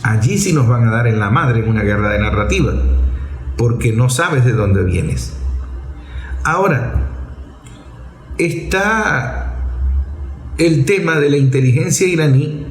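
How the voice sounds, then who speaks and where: A man's voice: mid-range voice, lively intonation, measured pace, pauses often, reverberant, low-quality recording. A man with a Venezuelan accent delivers a political commentary monologue as a show host, explaining didactically in short, emphatic, repeated phrases.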